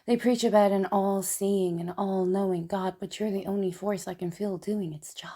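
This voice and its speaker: A woman acting a melancholy, wryly funny and tipsy character in an intimate, halting theatrical monologue. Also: mid-range voice, fairly even intonation, mellow, natural voice. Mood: wistful, tender. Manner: halting, intimate, dramatic pauses. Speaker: stage actor performing a solo monologue. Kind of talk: confessional theatrical monologue, spoken as a letter.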